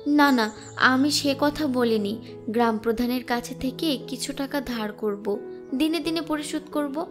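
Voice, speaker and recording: A woman's voice: high-pitched, measured pace, over music. A woman playing a pleading village wife and mother in a horror cartoon. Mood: persuading.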